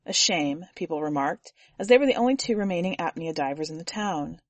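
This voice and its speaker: A woman's voice: fairly low pitch, lively intonation, a little fast, no echo. Woman narrating fiction in a dry booth. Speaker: podcast editor and story reader.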